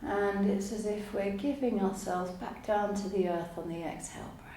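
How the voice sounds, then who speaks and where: A woman teaching yoga nidra, guiding a relaxation meditation in a calm, soothing, unhurried way with long pauses. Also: mid-range voice. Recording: roomy echo, faint background noise.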